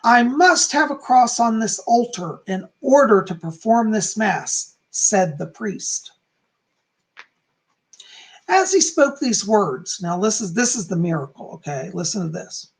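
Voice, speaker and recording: A calm, soft-spoken man who is hoarse and losing his voice, teaching on a livestream and reading aloud from a book. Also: high-pitched, a little slow, low-quality recording. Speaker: psychic teaching about folk magic.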